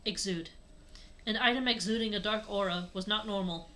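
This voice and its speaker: A woman's voice: medium pitch, moderate pace, bright, forceful tone, a little hiss or hum, poor audio. A woman narrating a story aloud as a co-host, with casual asides. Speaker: co-host reading fan fiction aloud.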